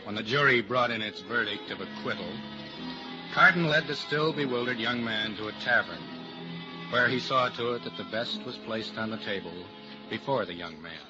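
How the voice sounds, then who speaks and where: Man as lowish radio drama storyteller. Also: moderate pace, music in the background, lightly acted. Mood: measured. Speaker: narrator. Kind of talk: vintage radio drama narration. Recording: dull old-time radio recording.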